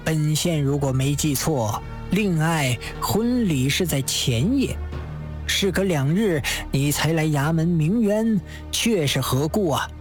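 A woman voicing a stern male magistrate of ancient China in a storytelling performance, commanding, formal and measured. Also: deep voice, lively intonation, darkish tone, faint music behind, voice pushed down. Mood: questioning, authoritative.

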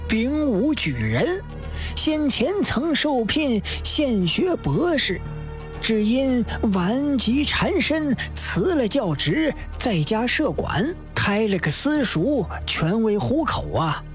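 A woman's voice: high voice, very animated, takes it slowly, soft background music, full character voice. A woman voicing a meek old male scholar, plaintive and deferential, drawing out his words in a storytelling performance. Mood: humble.

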